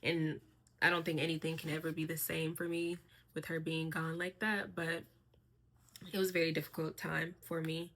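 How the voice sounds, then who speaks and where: A young woman talking casually to her camera in a personal vlog, soft-spoken and reflective, with a voice she calls monotone. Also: lowish voice. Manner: soft-spoken, reflective, with frequent fillers and light laughs. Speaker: vlogger.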